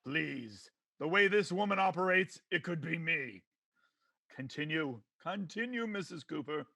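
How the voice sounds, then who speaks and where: An actor playing a pompous, preachy judge in an old-time radio comedy, with theatrical delivery. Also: male voice, fairly high pitch, expressive, a little slow, vocal fry, bright, forceful tone, no echo, studio quality, full character voice. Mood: pompous, wry. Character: pompous male judge presiding over comic domestic court.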